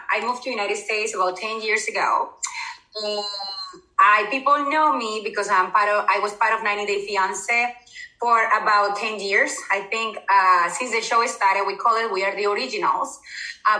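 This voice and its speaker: A woman's voice: medium pitch, sharp tone, echoey room, rough recording. A woman from Colombia with a Colombian Spanish accent, a native Spanish speaker, speaking candidly as an interview guest. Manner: candid, with restarts and fillers in her second language. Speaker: guest, former reality TV participant. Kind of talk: casual interview.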